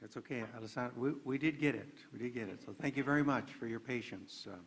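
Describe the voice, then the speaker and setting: A man moderating a panel discussion, relaxed, passing on questions and reading out answers. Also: medium pitch, fast talker, slight background noise.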